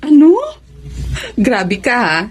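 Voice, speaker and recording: A woman's voice: fairly high pitch, very animated, slow pace, exaggerated voice. A woman playing a coy, playfully protesting young sweetheart in a radio drama. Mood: mock indignant.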